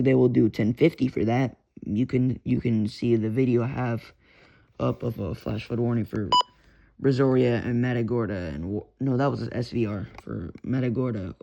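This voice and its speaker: A man's voice: mid-range voice, gravelly. A young man with a Texan accent rambling casually about radio hobby gear, swearing, full of fillers and restarts. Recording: lo-fi with background hiss.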